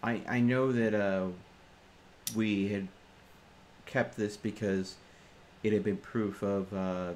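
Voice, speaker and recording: A man's voice: on the low side, steady tone, slow pace, pauses often, darkish tone, slight background noise. A man playing a tabletop roleplaying game, chatting casually in and out of character with playful banter.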